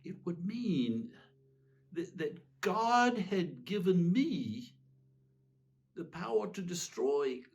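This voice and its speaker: A man's voice: high voice, very animated, slow pace, long pauses, a little mellow, voice pushed up, full character voice. A man playing a weary, self-pitying tyrant king in a theatrical monologue, fussy, pompous and plaintive. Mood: anxious arguing. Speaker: reader giving a dramatic reading. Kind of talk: theatrical dramatic monologue reading.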